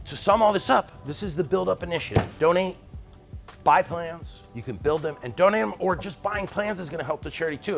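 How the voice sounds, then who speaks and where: A man's voice: a little high, fairly bright tone. A man in his forties hosting a woodworking channel, talking casually and enthusiastically straight to camera in his shop. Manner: enthusiastic, upbeat, conversational with fillers. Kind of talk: casual direct-to-camera pitch. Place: mostly empty woodworking shop, indoors.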